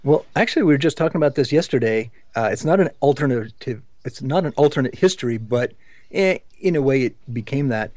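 A man's voice: mid-range voice, lively intonation, a little fast, a little mellow, dry recording. A man hosting a podcast discussion in an informal, chatty way, with some enthusiasm for the subject. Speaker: podcast host.

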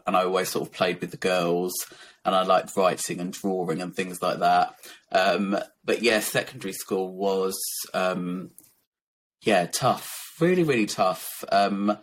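A man from Essex with a soft, gentle voice, a little croaky and tired, talking candidly and reflectively as a guest, with many ums and soft laughs. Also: low-pitched. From Essex, England. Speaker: guest, children's author. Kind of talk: casual interview talk.

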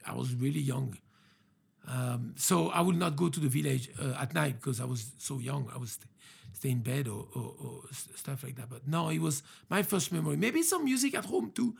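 A man's voice: fairly high pitch, creaky, breathy edge. A man with a French accent, hosting a casual interview and talking with many hesitations and restarts. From France.

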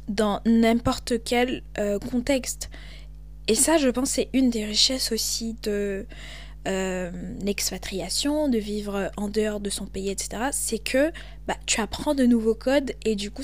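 A young woman from Cameroon with a Cameroonian French accent hosts a podcast, talking in an animated, emphatic way. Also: on the high side. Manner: animated, emphatic, switches into English. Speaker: podcast host. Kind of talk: casual monologue.

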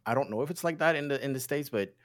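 Man, mid pitch, loose podcast-guest chatter with frequent restarts. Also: fast talker. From Haiti. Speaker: podcast guest, streaming coach. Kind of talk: casual podcast chat.

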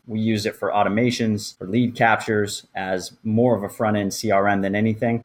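A man with a fairly low voice speaks as a podcast host. He explains things step by step in an informal, conversational way, as if guiding listeners through a demonstration. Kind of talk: conversational podcast presenting with screen walkthrough.

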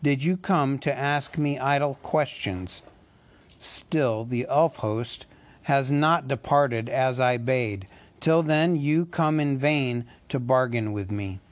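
A man voicing a proud, wrathful male dwarf king who snaps and shouts, in a storybook reading. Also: mid-range voice, takes it slowly, natural voice. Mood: cold anger. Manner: shouting, bitter, commanding.

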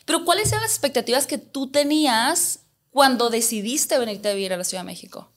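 A woman's voice: high-pitched, animated, a little fast. A woman from Mexico with a Mexican accent, hosting an interview show in a conversational style.

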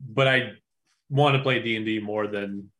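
A player chatting casually, an animated man who laughs easily. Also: fairly low pitch, normal speed, rough recording. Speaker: player and podcaster. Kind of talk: casual stream chat.

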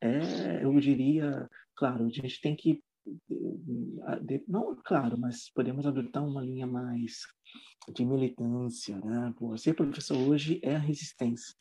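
Guy, english teacher, husky kinda dark voice, lots of "né" and restarts. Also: medium pitch, slow pace, rough recording. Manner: hesitant, many fillers and restarts. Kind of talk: casual interview talk.

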